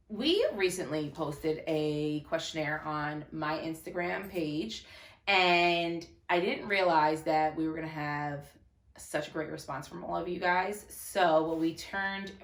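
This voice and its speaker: A woman's voice: low-pitched, steady tone, measured pace, fairly bright tone, reverberant. A woman hosting an interview in an upbeat, chatty way. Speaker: interview host. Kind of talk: casual interview.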